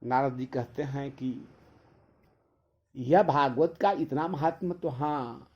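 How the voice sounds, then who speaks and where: A man giving a devotional discourse as a religious storyteller, retelling a scriptural tale in halting, repetitive phrasing. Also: a little high, a little mellow. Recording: dull, lo-fi sound.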